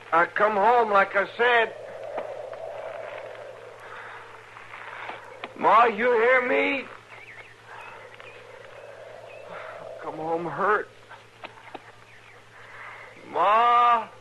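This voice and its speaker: Wounded outlaw, rural Western American accent, radio drama. Pained, plain-spoken, dragging pace, sharp edge. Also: male voice, high voice, highs cut off, exaggerated voice. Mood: desperate calling. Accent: rural American West. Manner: strained, stubborn, plain-spoken. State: in pain, groaning. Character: wounded, stubborn outlaw cowboy come home. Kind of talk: old-time radio western acting. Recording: noisy vintage radio broadcast.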